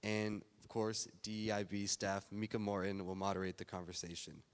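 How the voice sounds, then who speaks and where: A man's voice: fairly low pitch, level tone, normal speed, smooth. Man reading an event welcome in a hall. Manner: reads a prepared welcome speech. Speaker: event host. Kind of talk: formal event introduction. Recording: long hall reverb.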